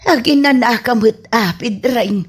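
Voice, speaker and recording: A woman's voice: on the high side, unhurried, breathy edge, soft background music. An actress with an Ilocano accent playing a worried, scolding mother of a grown son in a radio drama. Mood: worried, chiding.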